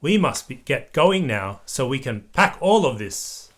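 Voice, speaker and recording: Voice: medium pitch, very animated, measured pace, fairly bright tone. An adult reading a storybook, voicing a polite, mildly surprised mother pig. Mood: polite, hesitant.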